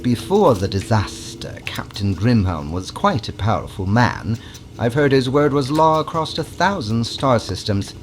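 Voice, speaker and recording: Fussy, swooping alien mad scientist. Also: male voice, mid-range voice, very animated, unhurried, slight background noise. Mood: dramatic. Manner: theatrical, fussy, scolding, dropping into conspiratorial hushes. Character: eccentric, secretive alien scientist doctor, bug-eyed and fussy. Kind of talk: audio drama character acting.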